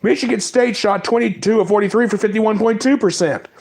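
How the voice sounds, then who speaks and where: A man with a fairly high voice and a cold runs through game scores and player stats in a loose, casual monologue. He reels off the numbers in quick lists. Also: fairly even intonation, gravelly, a little mellow. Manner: reads stats in quick lists, apologizes for mispronouncing names. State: has a cold. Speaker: sports talk host. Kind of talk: casual sports recap monologue.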